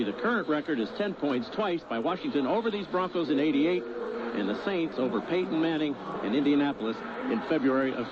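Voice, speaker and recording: American radio sports host, man, loud background noise. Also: on the high side. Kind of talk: live halftime radio report. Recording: dull radio broadcast, loud stadium noise.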